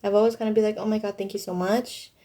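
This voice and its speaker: A woman's voice: mid-range voice, steady tone, quick pace, mellow. A young woman from Thailand casually chatting on a livestream and answering viewers, with informal, youthful slang.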